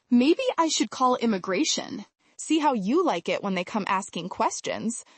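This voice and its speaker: A woman's voice: fairly high pitch, breathy edge, dry recording, heavily acted. A woman voicing an entitled, angry female customer who is indignant and accusing, in a dramatized story. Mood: accusing, threatening.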